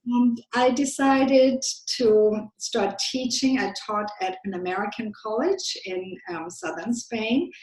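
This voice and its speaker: A woman from Germany with a German accent, answering interview questions in a measured way with frequent ums. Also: a little high, animated, a little mellow, reverberant, rough recording. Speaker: guest.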